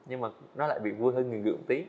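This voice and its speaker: A young man talking quickly and earnestly with a middle-pitched voice, trying out his sentences again as he goes. The recording sounds a little dull and lo-fi, like a podcast studio set. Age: young adult. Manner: earnest, fast-talking, frequent small restarts. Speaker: student animator guest. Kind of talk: casual interview answers.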